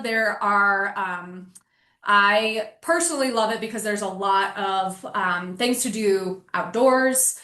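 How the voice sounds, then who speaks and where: A woman's voice: mid-range voice, pushed and bright. An American woman from Colorado hosting an information session, answering questions in an informal, encouraging way. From Aurora, Colorado, United States. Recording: roomy, echoing sound.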